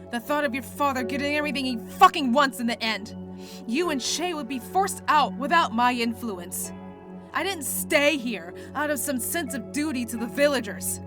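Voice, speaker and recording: A woman's voice: sits high, lively intonation, normal speed. An actress playing a battle-hardened woman general in an audio drama, intense and clipped, over music. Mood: impassioned. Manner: intense, clipped, swears when angry. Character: battle-hardened female general, fiercely protective.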